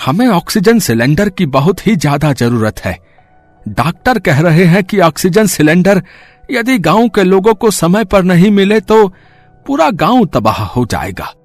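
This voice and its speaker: Man playing an anxious high-pitched village man. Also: sing-song, measured pace, exaggerated voice. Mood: worried pleading. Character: anxious village men, gossiping neighbours and pleading headman. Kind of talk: animated story character acting. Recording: dry voice over music bed. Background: background music.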